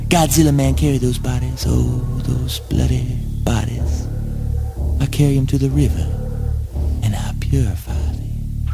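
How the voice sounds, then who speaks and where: Man acting a slow, ominous deranged killer son. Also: medium pitch, gravelly. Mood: feverish, chanting. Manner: theatrical, ominous, incantatory delivery. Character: deranged murderous son calling himself Godzilla Man. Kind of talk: spoken word stage play monologue.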